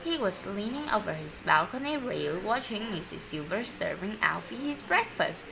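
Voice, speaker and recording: A woman reading a children's story aloud expressively and deliberately, sometimes stumbling over words. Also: mid-range voice, big pitch swings, creaky, background noise. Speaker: storybook reader.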